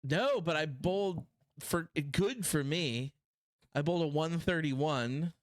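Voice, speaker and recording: A man's voice: fairly high pitch, expressive, slow, no room sound, clean studio sound. A man in his thirties with a Pittsburgh accent, co-hosting a podcast in a rambling, self-deprecating casual chat. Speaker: podcast co-host.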